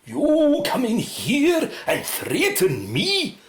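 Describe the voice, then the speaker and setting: Radio play role: principled, easily indignant male scientist, played by a woman, very expressive pitch, slow formal delivery. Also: full character voice. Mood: indignant, defiant. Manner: formal, emphatic, precise diction. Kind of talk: radio drama acting. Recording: roomy echo, faint noise.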